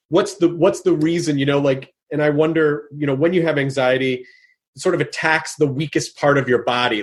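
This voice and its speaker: A middle-aged man hosting a casual interview, thinking aloud with many restarts and growing animated when he acts out what someone might say. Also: on the high side. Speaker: podcast host.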